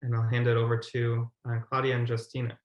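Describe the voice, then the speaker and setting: A man's voice: mid-range voice, completely flat delivery, fast, dull audio. Man presenting online in an echoey room. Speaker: webinar presenter. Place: indoors on a video call. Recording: roomy, echoey video call.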